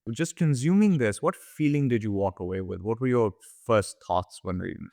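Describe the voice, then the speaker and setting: A man co-hosting a conversational business podcast, explaining in a measured way and posing questions. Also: lowish voice, lively intonation, vocal fry, a little mellow, dry recording, clean studio sound. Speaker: podcast co-host.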